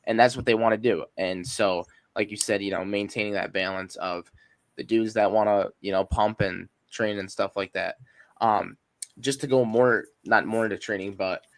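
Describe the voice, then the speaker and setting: A man's voice: fairly low pitch, dry recording. A young man, a firefighter hosting a podcast, talking casually and hesitantly with plenty of ums and you-knows. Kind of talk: casual interview talk.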